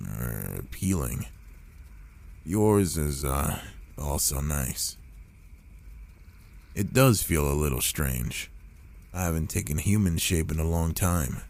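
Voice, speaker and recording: A man voicing a gruff, reclusive werewolf who is reluctantly caring and protective, speaking haltingly in an ASMR boyfriend roleplay. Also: a bass voice, animated, a little mellow, exaggerated voice. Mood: shy, hesitant. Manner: slow, halting, murmured delivery with pauses.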